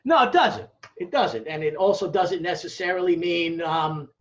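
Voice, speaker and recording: A man's voice: fairly high pitch, expressive, normal speed. An American man explaining things in a conversational interview, emphatic and didactic, often checking in and posing rhetorical questions. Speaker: academic interviewee, demographer. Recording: dull, lo-fi audio.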